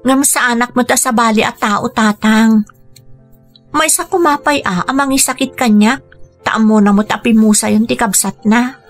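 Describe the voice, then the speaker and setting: A woman's voice: a little high, normal speed, soft background music, lightly acted. A woman playing an earnest young student daughter, hurt on her father's behalf, in an Ilocano-accented Filipino radio drama style. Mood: hurt, aggrieved. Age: young adult.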